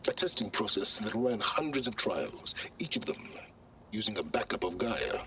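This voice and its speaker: A man playing an arrogant, self-important scholar in a video game, with pompous, condescending and deliberate phrasing. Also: on the low side, huge pitch swings, gravelly, sharp tone, slight background noise, heavily acted, rough recording. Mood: self-satisfied. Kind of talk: video game cutscene acting.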